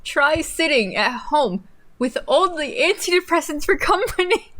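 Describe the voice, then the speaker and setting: A young woman with a fairly high voice co-hosts a livestream from home. She reads aloud theatrically and mockingly, slipping into casual, playful banter. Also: very animated, unhurried, fairly bright tone, rough recording. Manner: giggly, playful, theatrical when reading aloud. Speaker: livestream co-host. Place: indoors at a desk in a home streaming setup.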